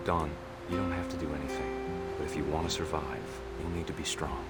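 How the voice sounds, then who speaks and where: A man's voice: extremely low pitch, steady tone, a little fast. An actor playing a serious, outdoorsy middle-aged American father in a video game, earnest and instructive. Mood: low, coaching. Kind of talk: naturalistic video game voice acting. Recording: loud music underneath. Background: loud music.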